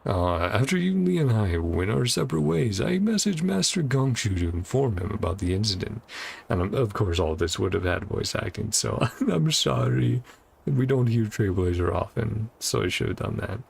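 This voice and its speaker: A man's voice: on the low side, very animated, normal speed, gravelly, breathy edge. A man reading game dialogue aloud with casual, mumbled commentary, as a streamer would. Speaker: streamer playing and reacting to a game. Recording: game music behind the voice. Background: game music.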